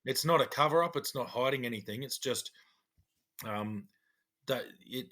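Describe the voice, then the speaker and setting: A middle-aged man, a former cop co-hosting a podcast, explaining things in a measured way. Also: mid-range voice, a bit bright. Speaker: podcast co-host, former police officer. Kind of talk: casual chat.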